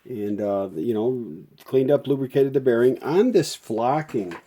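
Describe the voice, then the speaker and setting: A man giving a casual hands-on repair demonstration as a show host, thinking aloud in a folksy, unhurried way. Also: mid-range voice, expressive, dark tone. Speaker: host of a vintage audio repair channel.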